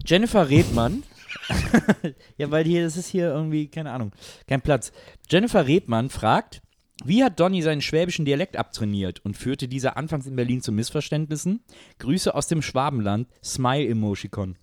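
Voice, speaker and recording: A man's voice: fairly high pitch, expressive, normal speed, darkish tone, no echo. A man co-hosting a casual talk, chatting and reading questions out to the others. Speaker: show host reading listener questions.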